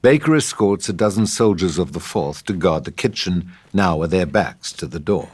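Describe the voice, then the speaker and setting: A man's voice: low-pitched, expressive, normal speed, darkish tone, slightly rough. A man reading scripted stage directions as the narrator of a table read.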